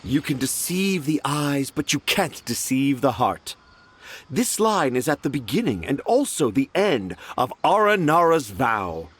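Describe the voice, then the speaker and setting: A man's voice: mid-range voice, big pitch swings, measured pace, fairly bright tone, breathy edge, full character voice. A man playing a pompous, dreamy, overconfident storyteller in a video game, speaking in a theatrical, grandiose manner. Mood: grand, knowing. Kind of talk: animated video game voice acting.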